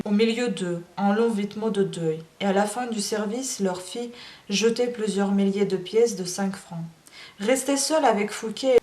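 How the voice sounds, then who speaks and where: A woman's voice: mid-range voice, darkish tone. A woman reading a classic novel aloud in a language that is not her first, then chatting hesitantly with many fillers. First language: not French. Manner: emotional, admits crying while reading. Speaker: audiobook reader.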